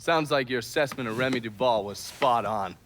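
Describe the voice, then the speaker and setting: An actor playing a matter-of-fact informant giving a briefing in a cinematic video game. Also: male voice, medium pitch, fairly quick, a bit bright, slight background noise, natural voice. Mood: businesslike.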